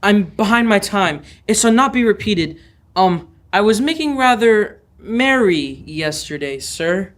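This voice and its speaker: A man's voice: high voice, expressive, a little slow, fairly bright tone. A young man playing a meek, apologetic office clerk in an old-time radio play. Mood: apologetic, nervous.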